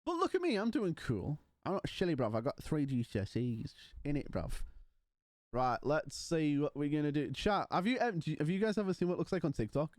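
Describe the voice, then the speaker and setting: A man chats with his stream audience, teasing and playful. His voice sits a little high for a man. The sound is dry and close, with no room echo. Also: very animated, brisk, a little mellow. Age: 20s. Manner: banters with chat, mocking and playful. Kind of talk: casual livestream chat.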